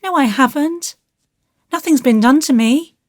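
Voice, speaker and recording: A woman's voice: high-pitched, measured pace, no room sound, clean studio sound, pitched above the performer's own voice. A woman voicing an earnest, naughty but penitent little boy in an audiobook, pleading and blurting in childlike, colloquial speech. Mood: anxious, blurting. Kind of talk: audiobook character voice.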